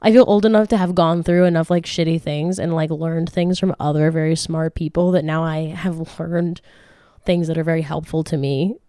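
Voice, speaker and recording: A woman's voice: low voice, steady tone, normal speed, warm tone, smooth, no room sound, clean studio sound. Chatty frank woman in her late twenties. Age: late 20s. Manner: chatty, frank, swears freely, laughs often, many restarts. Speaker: podcast host answering listener questions. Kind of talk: casual chat.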